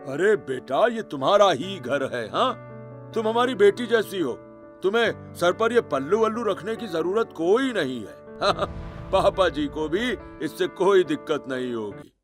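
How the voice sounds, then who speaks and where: Indulgent middle-aged father-in-law, voiced by a man, higher male voice, affectionate, cartoon family drama. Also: very animated, unhurried, soft background music. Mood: reassuring.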